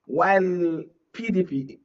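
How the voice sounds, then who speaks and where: A man's voice: high voice, takes it slowly. A Nigerian man speaking as a media analyst, explaining politics and often checking that the listener understands. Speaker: media analyst, studio or call-in guest. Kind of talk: political analysis in live discussion. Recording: muffled, distant from mic.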